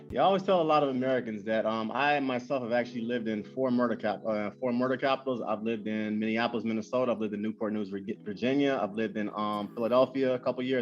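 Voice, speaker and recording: A man hosting a casual interview, talking quickly and loosely with many fillers and repeated phrases. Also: fairly low pitch. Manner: fast, animated, lots of fillers and repeats. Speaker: host. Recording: dull lo-fi sound with faint music.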